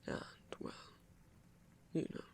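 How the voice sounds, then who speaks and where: A man voicing a gentle trickster god in an ASMR roleplay, whispering slowly and soothingly in a breathy, creaky voice with refined, hesitant phrasing. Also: close to an everyday voice. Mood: gentle reminiscing.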